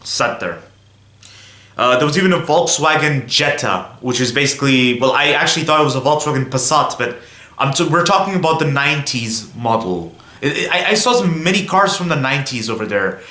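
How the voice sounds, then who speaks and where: A man's voice: medium pitch, lively intonation, a little fast, bright, forceful tone, reverberant. A young man from Belgium chatting casually to viewers on his car vlog, rambling a little and often saying "guys". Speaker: car vlogger.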